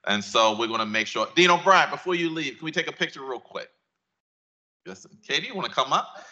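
A man's voice: fairly high pitch, animated, brisk, bright, forceful tone, muffled recording. A man hosting a university event from a podium microphone in a lecture hall, speaking hesitantly and repeating words.

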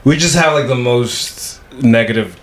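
A man's voice: medium pitch, a little slow, crowd noise. A man talking as a guest in a casual podcast chat, explaining his points and often rephrasing mid-thought. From Kenya. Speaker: comedian guest.

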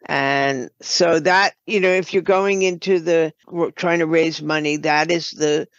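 A woman giving explanatory, halting answers as a guest in an unscripted interview. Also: low-pitched, fairly even intonation, dry recording, highs cut off. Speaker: podcast guest, writer and producer.

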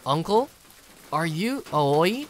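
A woman's voice: lowish voice, very animated, takes it slowly, a little hiss or hum. A woman playing a kind, responsible young office worker and uncle in a story, with an earnest, attentive manner. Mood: friendly. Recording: music behind the voice.

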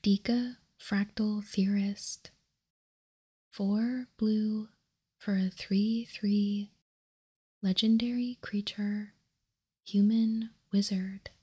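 A woman whispering softly and unhurriedly in ASMR narration as she hosts a tabletop card showcase. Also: medium pitch, steady tone, husky. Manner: soft, slow, unhurried whispering. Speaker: ASMR card game video host.

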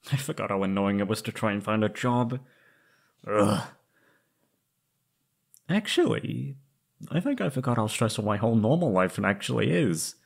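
A young man acting an anxious, wistful former captive in a scripted roleplay audio drama, speaking hesitantly and haltingly. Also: lowish voice, gravelly, full character voice. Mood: weary, frustrated.

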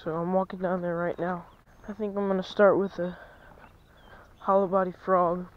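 Excitable young guy outdoors. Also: high-pitched, moderate pace, dull audio. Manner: chatty, excitable, drops to near-whisper while stalking fish. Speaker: fishing vlogger. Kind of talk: casual vlog narration. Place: outdoors at a lake bank. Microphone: chest-mounted action camera.

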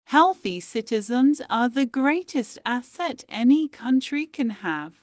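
A woman reading quotations aloud in a slow, measured, deliberate way, pausing between phrases. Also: fairly high pitch, animated, no room sound, clean studio sound. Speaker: quote reader. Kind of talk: slow scripted quote reading.

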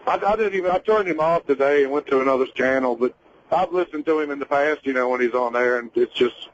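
A man calling in to a talk show, giving opinions in a casual, halting, plain-spoken way. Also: medium pitch, slight background noise. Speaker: caller. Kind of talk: casual opinionated phone-in chat. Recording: dull lo-fi phone-in line.

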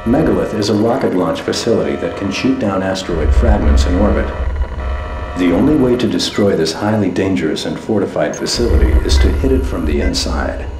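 An actor playing a firm, businesslike military commander who gives a formal, authoritative scripted briefing to fighter pilots in a video game. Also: male voice, low-pitched, lively intonation, a little fast, over music. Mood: firm, grave. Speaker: mission briefing officer. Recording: echoey, noisy briefing audio.

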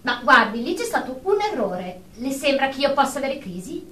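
A woman's voice: high-pitched, sing-song, normal speed, a bit bright. A woman acting a defensive, obsessive, germ-phobic nurse of forty-five in a radio drama, hesitant and repetitive. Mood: insistent, defensive. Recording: distant roomy recording with faint noise.

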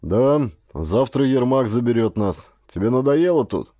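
A man voicing a caring, gentle, cheerful father and polar scientist in an audiobook. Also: medium pitch, animated, a little slow, no room sound, studio quality, pitched above the performer's own voice, natural voice. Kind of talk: audiobook character dialogue.

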